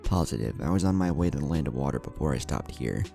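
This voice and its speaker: Man reading as an earnest young ninja, deep-voiced. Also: lively intonation, quick pace, gravelly, mellow, faint music behind, heavily acted. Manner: earnest, gasping when hurt. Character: brave, humble young male ninja, earnest. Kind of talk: storybook character dialogue.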